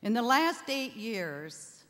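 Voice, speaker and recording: An older woman giving an informal thank-you speech from a stage at a stand microphone, with halting, deliberate phrasing. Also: a little high, sing-song, a bit bright. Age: older, 60s or above. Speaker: charity founder giving thanks. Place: theatre stage before an audience. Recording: reverberant hall sound.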